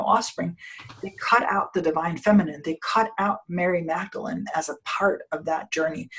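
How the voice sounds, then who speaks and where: A woman from Denmark in a casual conversation about spirituality, rambling with many restarts and fillers and often trailing off quietly. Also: low-pitched, low-quality recording.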